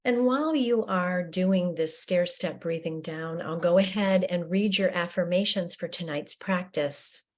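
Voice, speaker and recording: A woman leading a guided breathing meditation in a slow, gentle, soothing way with long pauses. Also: fairly low pitch.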